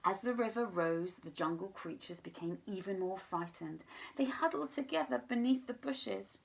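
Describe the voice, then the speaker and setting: A woman reading a children's story aloud in an expressive, deliberate storytelling manner, sometimes hushed. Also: medium pitch, vocal fry, a little hiss or hum, low-quality recording. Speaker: teacher reading a picture book to children.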